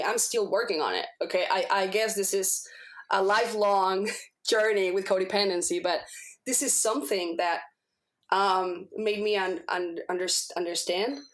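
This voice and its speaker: A woman with Spanish as her first language speaks as a guest in a casual interview. She shares a personal testimonial in a medium-pitched voice. Her manner is earnest, and she often searches for words and repeats herself as she goes. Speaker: podcast guest.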